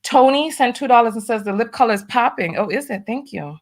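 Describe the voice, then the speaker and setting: A woman's voice: on the high side, animated, brisk. A woman from New York with a lightly masked New York accent, hosting a casual livestream, reading viewer donations and making wry asides as she does her makeup. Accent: New York, partly masked. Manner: chatty, wry asides, doing her makeup while talking. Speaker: livestream host.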